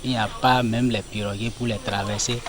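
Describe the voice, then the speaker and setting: A man's voice: fairly low pitch, steady tone, fairly quick. Male villager amid crowd chatter. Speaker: local resident. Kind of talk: testimony to news camera. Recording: crowd chatter around the speaker.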